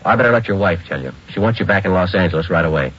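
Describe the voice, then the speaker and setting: Muffled old radio, a low-voiced american police sergeant, clipped and matter of fact. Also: male voice, animated, rapid-fire, a bit bright, breathy edge, heavily acted. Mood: businesslike. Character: terse, businesslike male police detective sergeant. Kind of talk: 1940s radio crime drama acting.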